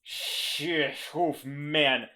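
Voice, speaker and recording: A man's voice: on the high side, steady tone, drawn-out words, sharp tone. A man playing a tabletop game, rambling and restarting as he describes his character's actions. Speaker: player. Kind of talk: casual tabletop play.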